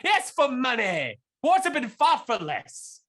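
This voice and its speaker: An actor playing a nervous, excitable young suitor in a period mystery radio play, with a stammering manner. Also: male voice, measured pace, heavily acted. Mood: frenzied accusation. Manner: stammering, high-strung.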